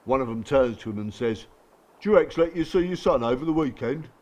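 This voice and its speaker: An actor playing a blunt, bruised working-class support group regular in a radio drama, colloquial, sardonic and probing. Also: male voice, medium pitch, expressive, fairly quick, a little mellow, natural voice. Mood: joking, bitter. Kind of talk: naturalistic radio drama acting.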